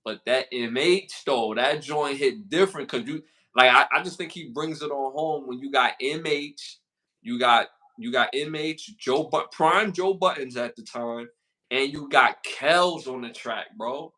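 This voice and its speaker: Bright-voiced Brooklyn man chatting. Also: mid-range voice, a little slow. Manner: slangy, repeats himself, reads out list questions. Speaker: podcast co-host. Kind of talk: casual podcast chat.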